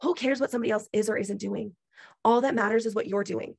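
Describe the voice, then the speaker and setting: A woman lectures her team on a video call. She is emphatic and preachy, drives her points home with repetition, and her voice is going hoarse. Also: medium pitch, fast talker, no room sound, studio quality. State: losing her voice. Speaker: business team leader. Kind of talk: motivational pep talk on a video call.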